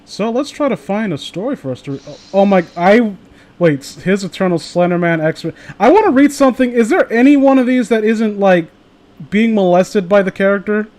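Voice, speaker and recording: A man's voice: high-pitched, lively intonation, brisk, darkish tone. A man hosting a livestream, chatting casually with friends and viewers in a sardonic, profane way. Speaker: livestream host.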